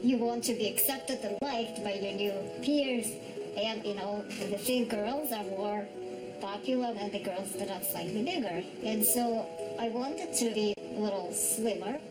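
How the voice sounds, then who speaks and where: A woman in her forties from Russia with a Russian accent, speaking in a documentary interview in a measured way with pauses and restarts. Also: medium pitch, over loud music, low-quality recording. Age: 40s.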